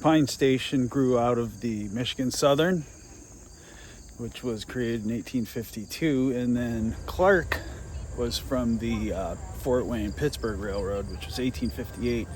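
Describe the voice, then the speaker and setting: A man's voice: mid-range voice, a little slow, a little mellow, slight background noise. An American man hosting a casual on-location nature vlog, narrating and explaining local history. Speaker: host of local nature exploration videos. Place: outdoors in a nature preserve.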